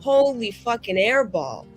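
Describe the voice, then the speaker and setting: A woman's voice: fairly high pitch, big pitch swings, slow. A young woman playing a tabletop game, chatting casually in a theatrical, sweary, mischievous manner. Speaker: player. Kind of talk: casual, excited game chat. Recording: faint music behind. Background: soft background music.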